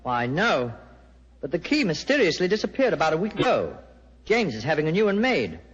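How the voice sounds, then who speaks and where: Courteous English lord, wildly varying pitch. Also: male voice, huge pitch swings, a little fast, slightly rough, full character voice. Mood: apologetic. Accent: British. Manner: polite, apologetic, easily agitated. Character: anxious, courteous English nobleman, an earl. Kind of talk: 1940s radio drama acting. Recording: muffled, echoing old radio recording.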